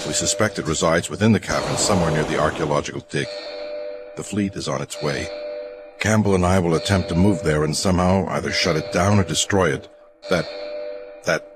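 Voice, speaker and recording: A man playing a grim, deliberate counselor recording an urgent audio log in a sci-fi horror drama. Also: rumbling low. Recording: loud music under the voice.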